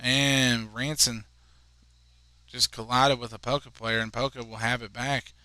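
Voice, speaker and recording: Bright, chatty young male commentator. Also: medium pitch, unhurried, clean tone, no room sound. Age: 20s. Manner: chatty, rambling asides between plays, excited on goals. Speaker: play-by-play commentator. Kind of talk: high school soccer commentary.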